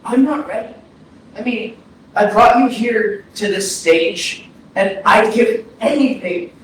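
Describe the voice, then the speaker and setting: A man playing a haunted, grieving man of almost thirty in a staged reading, speaking earnestly and haltingly as he pleads with the dead. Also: sits high. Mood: wistful. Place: indoor arts center stage, recorded from afar. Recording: very echoey, distant hall, faint noise, processed.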